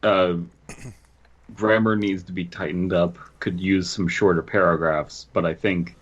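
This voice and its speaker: A man co-hosting a podcast, reading and commenting casually, with a husky voice. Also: low-pitched, steady tone, unhurried. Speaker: podcast co-host. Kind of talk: casual comic commentary with some story reading. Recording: dull, lo-fi microphone sound.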